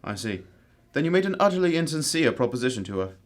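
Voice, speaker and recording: A man's voice: mid-range voice, very animated, fast talker, close to an everyday voice. A man playing a cool, exacting detective in an amateur radio drama, speaking in a formal, probing manner. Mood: probing, stern.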